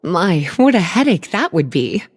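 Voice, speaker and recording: A woman playing a teasing, languid librarian mage who speaks in an unhurried, coy manner in game cutscene dialogue. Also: on the low side, big pitch swings, a little hiss or hum.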